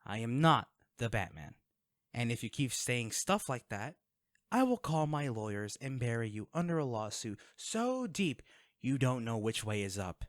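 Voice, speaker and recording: A man's voice: medium pitch, big pitch swings, moderate pace, no echo, clean studio sound, close to an everyday voice. A man playing a guarded, wealthy vigilante in an audio drama, speaking in a curt, sarcastic and threatening way to the listener. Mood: stern.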